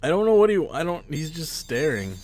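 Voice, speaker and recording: A man hosting a casual gaming podcast, his voice hoarse and stuffy as he loses it. He rambles and mocks himself, his pitch leaping up and down, with a faint room hum behind him. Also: sing-song, brisk, a little mellow. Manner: rambling, jumpy, self-mocking. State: losing his voice, stuffy sinuses. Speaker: podcast host. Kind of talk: casual chat while gaming.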